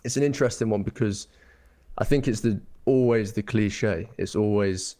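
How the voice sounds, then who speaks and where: Young man, low voice, rambling. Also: moderate pace, dark tone. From England. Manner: thoughtful, rambling, many ums and restarts. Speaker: guest architect. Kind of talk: casual interview chat.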